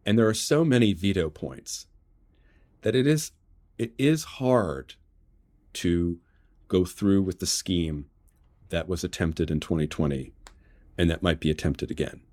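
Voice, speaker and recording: An American man giving political commentary as an interview guest, measured and halting as he restarts phrases to qualify his points. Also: low-pitched, animated, a little mellow, dry recording. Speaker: interview guest, journalist and author.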